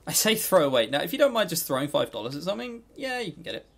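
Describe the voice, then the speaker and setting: Guy on a podcast, bit of vocal fry, opinionated but hesitant, casual review chat. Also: fairly high pitch, big pitch swings, racing, a bit bright. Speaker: podcast co-host.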